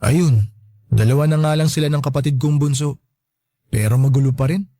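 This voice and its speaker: A man's voice: on the high side, steady tone, brisk, mellow, no echo, studio quality. A young man playing a resentful, neglected grown son in a radio drama, plaintive and reproachful but respectful. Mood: resigned, exasperated. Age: young adult. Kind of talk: emotional radio drama acting.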